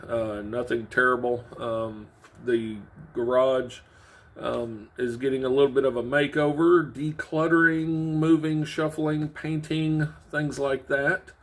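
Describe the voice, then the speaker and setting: A man hosting a casual video channel, explaining things to his viewers in a halting, informal way. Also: mid-range voice, steady tone. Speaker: host of a CB radio and trucking video channel. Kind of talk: casual vlog update to viewers.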